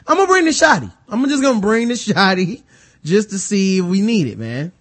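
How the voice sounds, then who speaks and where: A man's voice: high voice, big pitch swings, a little fast, fairly bright tone. An American man hosting a casual, profane film discussion, animatedly retelling scenes and acting out mock dialogue. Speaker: movie review podcast host.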